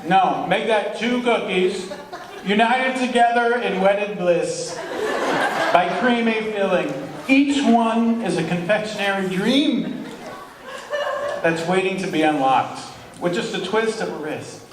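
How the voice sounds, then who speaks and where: A man's voice: sits high, slow pace, a crowd in the background. A man performing as a comic co-host in a live old-time radio sketch, with theatrical, savoring phrasing. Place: large indoor hall, live show. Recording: echoey hall from far off, noisy.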